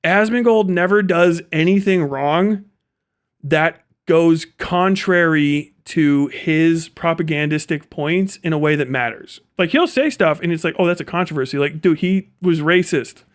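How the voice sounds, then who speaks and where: A man's voice: on the high side, a little slow. A man giving off-the-cuff livestream commentary in a blunt, profane, sardonic way. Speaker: live streamer.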